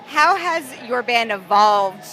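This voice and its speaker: A woman with a bright, forceful voice interviews a musician at a festival. She asks her questions in a friendly, chatty way, and her pitch sits a little high. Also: animated, slow pace, slight background noise, low-quality recording.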